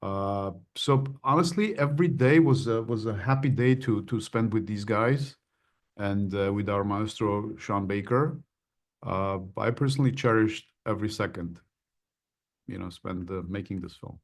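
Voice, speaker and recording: A man, an actor and interview guest, answering in a measured, thoughtful and slightly formal way. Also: lowish voice. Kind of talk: casual interview talk.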